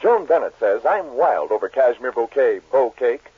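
A man delivers 1940s American radio ad copy with a very animated pitch. His voice is somewhat high and husky. The recording is tinny and narrow, like an old broadcast. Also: moderate pace, dry recording. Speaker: commercial announcer. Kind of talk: 1940s radio advertising read.

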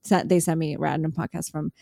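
A woman in her thirties co-hosting a podcast, chatting casually and telling stories in a lively way with lots of "like.". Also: deep voice, fairly quick, creaky, no echo, clean studio sound. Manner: chatty, animated storytelling with many "like" fillers. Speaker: podcast co-host. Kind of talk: casual chat.

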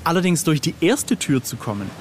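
A man's voice: fairly high pitch, very animated, fairly quick, background noise. A middle-aged man talking casually as an interview guest, hesitant and drily self-deprecating. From Germany.

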